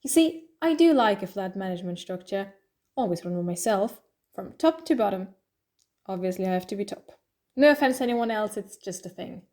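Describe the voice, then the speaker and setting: A woman's voice: medium pitch, lively intonation, a little fast, a little mellow, natural voice. A woman playing a playful, restless alien time traveller with a chatty, quirky manner in an audio drama. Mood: playful, teasing.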